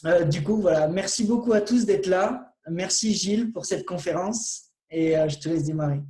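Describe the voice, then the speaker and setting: High-voiced host in an echoey room. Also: male voice, darkish tone. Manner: halting, many fillers and restarts. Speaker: conference host. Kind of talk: introducing a speaker.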